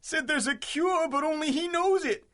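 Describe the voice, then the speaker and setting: Very high, strained, desperate crook. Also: male voice, normal speed, a bit bright. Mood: frightened defiance. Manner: agitated, pleading, colloquial. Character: desperate, panicky criminal henchman, cornered and defiant. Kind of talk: 1930s-style radio drama acting.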